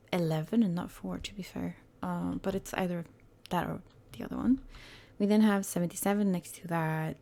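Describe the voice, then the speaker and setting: Croaky, gravelly woman gaming host. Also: fairly low pitch, darkish tone. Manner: rambling, hesitant, self-correcting. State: hoarse, croaky throat. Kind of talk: casual gameplay commentary.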